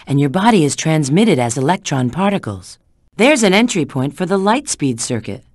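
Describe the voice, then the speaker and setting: A woman's voice: sits low, no room sound, studio quality. A woman voicing a sly, flirtatious, confident treasure-hunting agent in a video game, giving hints and callouts with teasing assurance.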